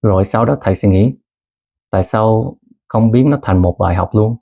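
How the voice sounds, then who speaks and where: A man in his thirties who grew up in Canada, narrating a personal story as a language teacher in a calm, earnest way and mixing two languages. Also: lowish voice, a little fast, creaky. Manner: calm, earnest storytelling, switching between Vietnamese and English. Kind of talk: scripted lesson narration.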